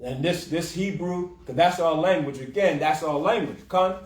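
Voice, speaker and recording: A man's voice: on the high side, moderate pace. A man teaching scripture informally as a class leader, repeating his points and checking in with short tag questions. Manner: repetitive, punctuating with "okay" and "huh". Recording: echoey room, distant mic.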